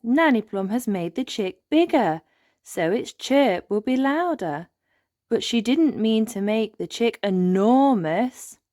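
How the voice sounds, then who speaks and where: A woman reads a picture book aloud for young children with a very lively, swooping delivery. She takes it slowly, and the recording is dry and close. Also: a little high, dry recording. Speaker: storybook narrator.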